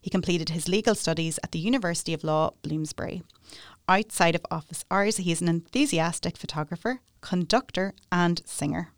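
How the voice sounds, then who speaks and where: A woman's voice: fairly low pitch, a little fast, no room sound, studio quality. A woman hosting a podcast, reading a guest introduction and asking friendly questions. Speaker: podcast host.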